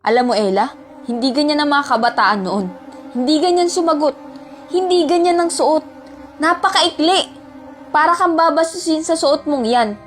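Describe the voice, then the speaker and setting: A stern, old-fashioned Filipino mother, acted by a woman with a fairly high voice, scolding as in a homemade radio drama. Music runs underneath. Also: normal speed, close to an everyday voice. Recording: music bed behind dialogue.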